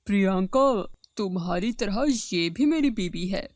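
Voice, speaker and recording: A woman's voice: medium pitch, animated, measured pace, no room sound, studio quality, pitched below the performer's own voice, close to an everyday voice. A woman voicing a stubborn, dismissive husband in a dramatized story, speaking bluntly. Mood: defiant. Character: stubborn, dismissive husband, a man besotted with his new wife.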